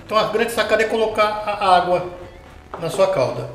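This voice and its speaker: A man's voice: sits high, expressive, a little fast, a bit bright. A middle-aged man demonstrating a recipe step by step in his home kitchen, explaining patiently and checking in with the viewer as he works. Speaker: home cooking show host. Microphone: camera mounted overhead. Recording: echoey kitchen with light background noise.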